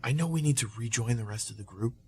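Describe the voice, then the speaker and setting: A man's voice: mid-range voice, quick pace, a little mellow, slightly rough, dry recording, studio quality. An actor playing an eager, lovestruck young man, earnest and casual, in a modern radio play. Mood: earnest, unsure. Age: young adult.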